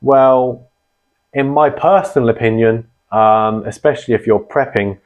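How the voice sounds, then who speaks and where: A man hosting a casual explainer on radio gear, rambling and filling pauses with ums and restarts. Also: medium pitch. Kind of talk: casual explanatory vlog talk. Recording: dull lo-fi sound.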